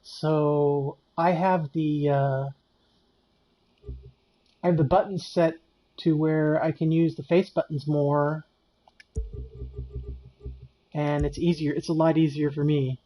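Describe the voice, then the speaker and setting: A man in his late fifties with a fairly high-pitched voice, chatting casually and poking fun at himself while he streams a racing game. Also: slow, pauses often, rough recording. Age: 50s. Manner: relaxed and chatty, answers chat, self-deprecating. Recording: loud game music behind the voice. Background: game music and car engines.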